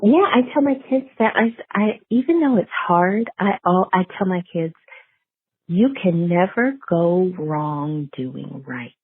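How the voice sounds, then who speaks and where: A woman's voice: fairly low pitch, lively intonation, a little slow, husky, no room sound. A middle-aged woman, a guest author in a casual interview, telling stories conversationally and stressing key phrases. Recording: thin phone-like line.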